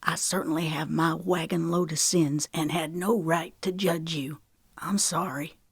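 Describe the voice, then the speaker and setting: A gruff older man, remorseful yet protective, talking low and soft, as a woman reading an audiobook puts him on. He grumbles more than he speaks, and the voice sits deep and close, with no room around it. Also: normal speed, no echo. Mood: regretful. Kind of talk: audiobook character voice.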